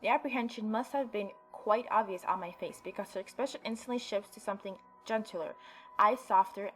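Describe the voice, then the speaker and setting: A woman's voice: medium pitch, fairly quick, fairly bright tone, faint music behind. A woman hosting a gaming video, reading visual novel narration aloud in a dramatic storytelling way with playful asides.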